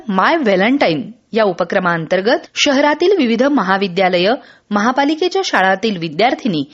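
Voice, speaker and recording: A woman reading a scripted regional radio news bulletin in a formal, measured way. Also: on the high side, highs cut off.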